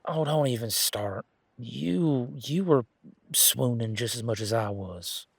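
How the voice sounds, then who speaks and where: A man's voice: mid-range voice, lively intonation, slow, gravelly, slightly rough, no room sound, full character voice. A man playing a polite, flustered werewolf boyfriend in a romantic audio drama, talking casually with nervous stammers. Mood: amazed, relieved. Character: Gavin, flustered, polite, excitable young male werewolf boyfriend.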